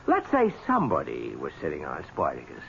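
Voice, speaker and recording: An actor playing an edgy, suspicious fiancé in a 1970s radio drama, defensive and combative in manner. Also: male voice, very deep voice, huge pitch swings, brisk, gravelly, heavily acted. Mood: bitter, accusing. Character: edgy, suspicious man engaged to an heiress. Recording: dull, noisy old radio recording.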